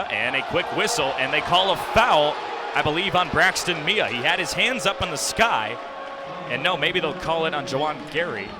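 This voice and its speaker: Young male radio play-by-play announcer, fairly high-pitched, descriptive and excitable, calling basketball from a noisy arena. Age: young adult. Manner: rapid descriptive calls, rising to excited shouts on big plays. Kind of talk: live basketball play-by-play commentary. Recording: noisy arena broadcast with bouncing balls. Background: loud game noise, basketball bounces.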